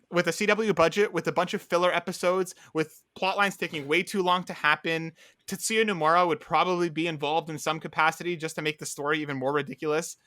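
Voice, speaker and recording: A man's voice: fairly high pitch, bright, forceful tone, no echo, studio quality. A man rambling through a casual podcast chat as co-host, full of filler words.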